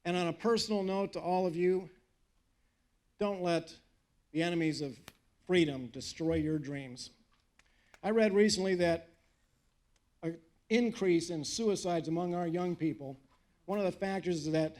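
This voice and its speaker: An older man speaks high and fervent from a rally stage, preacherly and emphatic. He throws in dry, joking asides between the serious lines, the rhythm of a seasoned speaker working a crowd. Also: a little mellow. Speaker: guest speaker at a political rally. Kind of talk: fiery patriotic rally speech. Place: live event in front of an audience.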